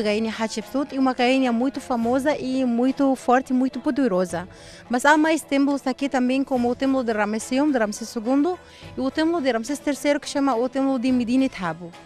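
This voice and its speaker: An Egyptian woman working as a tour guide outdoors, explaining history in halting learned Portuguese, with Arabic as her first language. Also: high voice, darkish tone. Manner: halting non-native phrasing, explanatory. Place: outdoors at an ancient temple.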